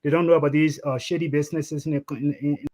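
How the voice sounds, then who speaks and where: A man's voice: a little high, flat delivery, fast talker, darkish tone. A Liberian man hosting a panel discussion over a video call, asking pointed, probing questions. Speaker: host.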